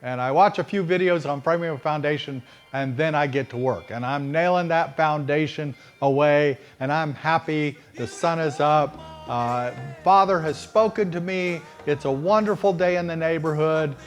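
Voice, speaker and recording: A middle-aged man with a Texas accent, preaching in a folksy, conversational way and slowing down to stress key phrases. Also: on the high side. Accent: Texan. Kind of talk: sermon-style storytelling.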